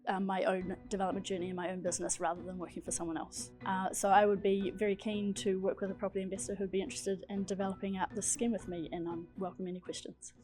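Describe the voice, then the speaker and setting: A woman with a New Zealand accent, giving a measured, detailed business pitch to investors. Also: flat delivery, a little mellow, soft background music. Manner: measured, detailed, figure-heavy delivery. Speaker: pitching entrepreneur.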